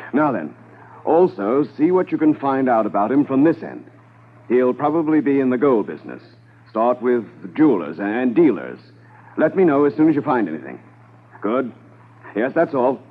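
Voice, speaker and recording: An actor playing a brisk, commanding senior Mounted Police officer in a 1950s radio drama, crisp and authoritative. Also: male voice, medium pitch. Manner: crisp, authoritative, giving orders. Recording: narrow, noisy 1950s radio transcription.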